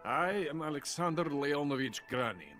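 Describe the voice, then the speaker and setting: An actor playing a drunken, pompous, bitter older Soviet weapons scientist in a video game. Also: male voice, a little high, very animated, moderate pace, fairly bright tone, faint music behind. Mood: boastful, proud. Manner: pompous, boastful. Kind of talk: theatrical video game voice acting.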